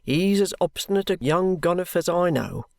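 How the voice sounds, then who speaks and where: A reader voicing a stern, unyielding police constable who speaks slowly and firmly. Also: medium pitch, lively intonation, gravelly, a little mellow, no room sound, clean studio sound, pitched above the performer's own voice. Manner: firm, slow and weighty.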